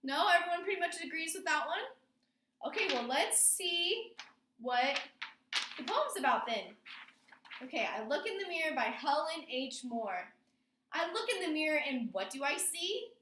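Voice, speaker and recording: High, bright-voiced woman teaching a class. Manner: instructing, asks prompting questions. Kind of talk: classroom lesson with poem read aloud. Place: indoor classroom. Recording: roomy, echoing classroom sound.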